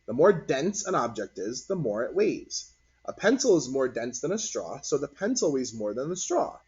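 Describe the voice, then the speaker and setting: A man reading a children's picture book aloud as narrator, in an expressive, deliberate storytelling manner. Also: mid-range voice, husky. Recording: dull lo-fi recording.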